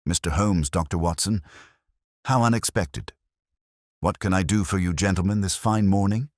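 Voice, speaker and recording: A man's voice: low-pitched, moderate pace, a little mellow, dry recording, studio quality, pitched above the performer's own voice, natural voice. A man voicing a polite but evasive professional under suspicion, guarded and defensive, in an audiobook mystery. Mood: smoothly polite. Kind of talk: audiobook detective story dialogue.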